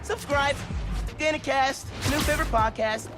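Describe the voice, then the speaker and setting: A young actor playing a young male superhero who talks in a lively, casual and enthusiastic way. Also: extremely high pitch, normal speed, over music. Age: young adult. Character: young male teen superhero, upbeat and techy.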